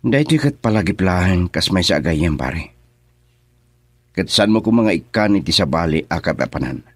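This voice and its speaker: A man's voice: sits low, animated, moderate pace, dry recording. A man acting a cold, taunting schemer in a radio drama, speaking in a menacing way.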